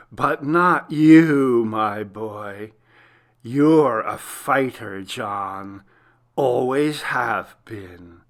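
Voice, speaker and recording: Guy doing a creepy gloating serial killer, super slow n drawn out, gravelly, fake sweet taunting, horror story. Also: medium pitch, a bit bright, full character voice. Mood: taunting, sinister. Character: menacing, gloating male serial killer writing taunting letter. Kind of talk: dramatic horror story reading.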